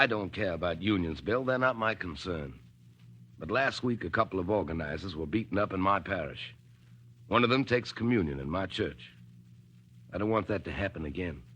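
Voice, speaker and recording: Forceful, earnest priest. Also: male voice, lowish voice, husky. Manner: insistent, admonishing. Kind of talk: 1940s radio drama acting.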